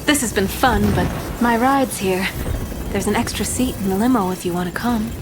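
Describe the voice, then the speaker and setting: A woman's voice: mid-range voice, background noise, natural voice. An actress playing a seductive female crime boss in a noir audio drama, cool and teasing. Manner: cool, teasing, unhurried wit.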